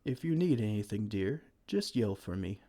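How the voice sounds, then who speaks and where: A man voicing a kind, gentle male giant who cares for a tiny human, speaking softly and soothingly in an ASMR roleplay. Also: on the low side, expressive, moderate pace. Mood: tender. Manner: soft-spoken, soothing, tender pet names.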